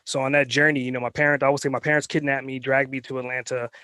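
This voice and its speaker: A man born in Trinidad and Tobago and raised in New York tells his story as an interview guest. He rambles through anecdotes with plenty of fillers, at a middling pitch. The sound is dry and close. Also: little pitch movement, racing, sharp tone, no echo. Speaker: guest entrepreneur.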